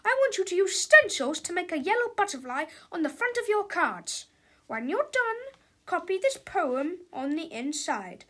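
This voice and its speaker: A mean schoolteacher from a children's storybook. Her voice sits very high and swoops sharply as she barks orders. She is bossy and harsh, snapping and yelling at her class. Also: very animated, moderate pace, few pauses, full character voice. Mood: harsh, angry. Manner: harsh, shouting, commanding. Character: mean, bossy woman schoolteacher who snaps and yells.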